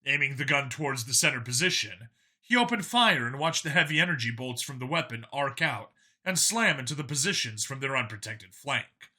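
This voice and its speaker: A man's voice: mid-range voice, animated, brisk, bright, forceful tone. A man reading a scripted science fiction story aloud as a dramatic audiobook narrator.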